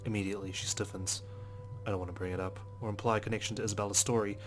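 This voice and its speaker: An actor as a low-voiced, serious police detective. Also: male voice, little pitch movement, very fast, lightly acted. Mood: brooding, resolute. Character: dogged, skeptical male police detective, inner narrator and speaker. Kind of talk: scripted visual novel narration and dialogue. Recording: music behind the voice.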